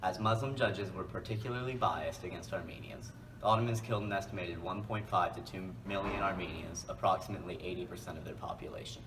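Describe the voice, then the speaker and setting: Low-voiced young man, noisy distant recording. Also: quick pace. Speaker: student reporter. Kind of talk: scripted report. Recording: distant mic, clear background noise.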